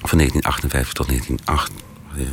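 An actor playing an earnest, self-important artist in a radio comedy, speaking in halting fragments with a deadpan delivery. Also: male voice, extremely low pitch, a little hiss or hum. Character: earnest, self-important male artist recounting his oeuvre. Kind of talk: deadpan mock-documentary monologue.

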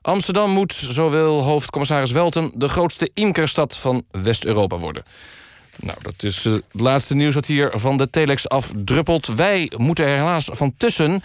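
A man presenting a mock-serious radio magazine in a deadpan manner. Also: fairly high pitch, moderate pace, no room sound, clean studio sound. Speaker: radio host. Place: radio studio.

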